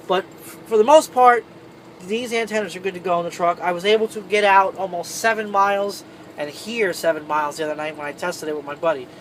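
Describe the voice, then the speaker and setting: Male tutorial host, high voice, informal trucker talking viewers through a how-to, recorded in a semi cab over faint vehicle noise. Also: a bit bright. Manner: informal, conversational, addressing viewers directly. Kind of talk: casual hands-on tutorial.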